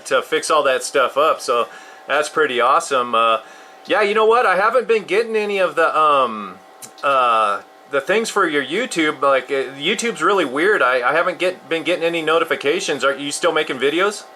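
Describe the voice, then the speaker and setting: A man's voice: a little high, animated, moderate pace, sharp tone, a little hiss or hum. A man in his fifties chatting casually as a CB radio operator. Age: 50s.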